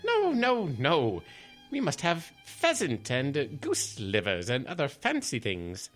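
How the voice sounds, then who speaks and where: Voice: medium pitch, huge pitch swings, a little slow, soft background music. A performer playing a pompous, doting, eccentric self-styled king in a comic radio drama, with theatrical, imperious delivery. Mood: indignant, imperious. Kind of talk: radio comedy audio drama acting.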